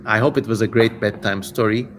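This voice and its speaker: A man's voice: fairly low pitch, steady tone, fairly quick, slight background noise. A man from Turkey with a Turkish accent, hosting a casual livestream in a playfully theatrical way, chatting and reading out viewer comments. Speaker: livestream host and reader.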